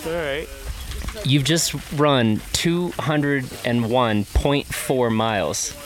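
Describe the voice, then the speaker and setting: A man interviewing runners outdoors at a race, asking quick, friendly questions with a joking tone and easy laughs. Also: medium pitch, crowd noise. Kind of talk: casual on-course interview. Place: outdoors at an ultramarathon.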